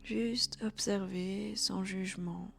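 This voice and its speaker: A woman leads a guided meditation in a soft, soothing voice. Her pitch stays very flat and her pace is very slow, with some phrases breathed almost as a whisper. Faint music plays behind her. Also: creaky. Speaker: yoga nidra instructor. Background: background music.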